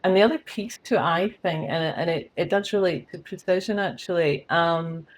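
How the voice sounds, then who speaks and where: A woman's voice: fairly low pitch, fairly quick. Chatty Scottish woman, candid. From Scotland. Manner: candid, chatty, laughs at herself. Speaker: guest, company CEO. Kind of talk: podcast interview.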